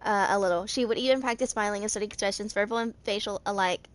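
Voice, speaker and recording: A woman's voice: mid-range voice, fast talker. A woman in her twenties hosting a gaming channel, reading character stories aloud with casual asides, tripping over words and rereading them. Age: 20s.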